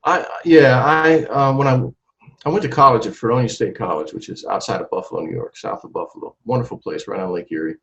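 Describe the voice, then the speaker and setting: A man in his forties talking about his music career in a casual interview, animated and full of restarts and repeated words. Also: medium pitch, quick pace, creaky. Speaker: trumpeter and singer, interview guest. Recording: dull lo-fi sound.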